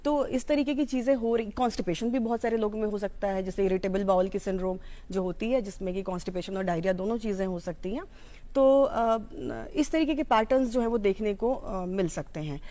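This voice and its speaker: A woman's voice: medium pitch, fairly quick, mellow. A woman with an Indian accent giving an informal, explanatory educational talk, mixing in English terms. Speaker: psychology educator.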